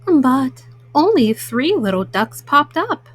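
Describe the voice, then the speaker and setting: A woman storyteller reading a children's picture book at bedtime, slowly and simply, as a mama to young listeners. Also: high-pitched, sing-song. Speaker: storyteller host.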